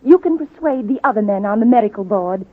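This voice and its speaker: An actress playing an earnest, determined charity reformer with a persuasive manner, in old radio drama style. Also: female voice, medium pitch, lively intonation, a little fast, close to an everyday voice. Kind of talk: 1930s-40s radio drama acting. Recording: tinny, muffled old radio recording.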